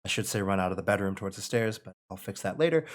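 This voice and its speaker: A low-pitched American man runs a script table read. He narrates and talks casually, like a writer sharing his own work with friends. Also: animated, rapid-fire. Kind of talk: casual reading of screenplay action and post-read chat.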